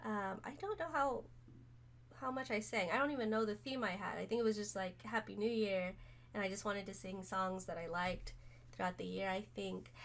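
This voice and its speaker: A woman streamer chatting casually to camera, hoarse from being sick, rambling with many pauses and fillers. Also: mid-range voice, steady tone. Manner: rambling, many ums, soft-spoken. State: hoarse, recovering from illness.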